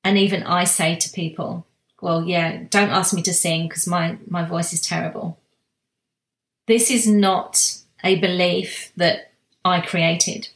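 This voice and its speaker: Slow, soft-spoken woman in an echoey room. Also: fairly low pitch, fairly even intonation. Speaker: spiritual guide sharing monthly energy reading. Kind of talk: reflective spiritual monologue.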